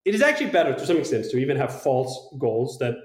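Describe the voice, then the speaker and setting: A man's voice: mid-range voice, big pitch swings, quick pace, echoey room. A man from Denmark speaks reflectively and emphatically, thinking aloud in a long-form conversation.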